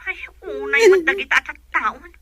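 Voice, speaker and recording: A woman's voice: extremely high pitch, animated, normal speed, a bit bright. A woman playing an indignant, protective young friend in an Ilocano radio drama. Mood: sympathetic, indignant.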